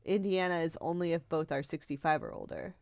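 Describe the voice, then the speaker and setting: A young American woman co-hosting a podcast in casual chat, animated and informal, prone to giggling. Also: deep voice, a little fast, no room sound, clean studio sound. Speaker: podcast co-host.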